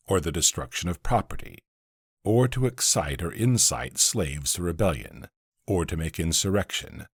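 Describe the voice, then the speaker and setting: A man playing a solemn, defiant older condemned abolitionist, delivering a deliberate, emphatic courtroom speech in a husky voice. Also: low-pitched, dry recording, clean studio sound, full character voice. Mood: solemn, restrained. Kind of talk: dramatic reading of a historical courtroom speech.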